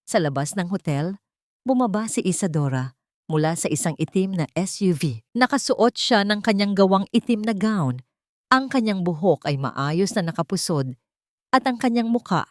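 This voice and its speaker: Female Filipino narrator for dramatic audiobook fiction; lowish register, very dry recording. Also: a little mellow, dry recording. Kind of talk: dramatic scripted story narration.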